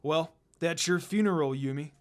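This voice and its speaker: A man performs a young mercenary swordsman from a fantasy story. He is smug and cocky, talking in a smooth, casual way. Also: on the high side, normal speed, soft background music, close to an everyday voice. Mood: mocking.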